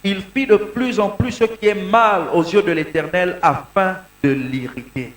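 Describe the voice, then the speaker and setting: A man from Congo preaching a sermon in short phrases, pausing so an interpreter can follow. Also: high voice, roomy sound. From Congo (DRC). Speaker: pastor.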